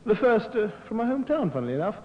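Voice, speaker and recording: An actor playing a deferential police records officer, reporting dutifully in an old radio crime drama. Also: male voice, high voice, very animated, racing. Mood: dutiful, brisk. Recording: muffled old radio, long reverberant room.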